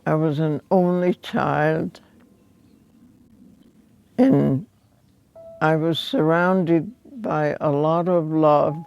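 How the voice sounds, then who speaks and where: Very slow, gravelly old woman. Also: low voice, steady tone. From Hungary. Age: 90s. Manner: slow, measured, with long pauses. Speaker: Holocaust survivor giving testimony. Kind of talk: reflective spoken testimony. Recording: dull lo-fi sound with faint music underneath.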